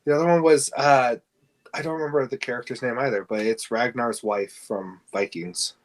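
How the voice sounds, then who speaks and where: A man's voice: medium pitch, fairly even intonation, moderate pace. Hesitant male podcast co-host. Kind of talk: casual podcast chat about fan casting.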